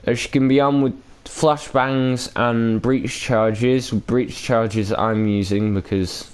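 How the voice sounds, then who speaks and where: A man's voice: medium pitch, fairly even intonation, slow, clean tone. A man hosting a gaming video with casual, unscripted commentary, rambling and hesitant with loose, sweary language.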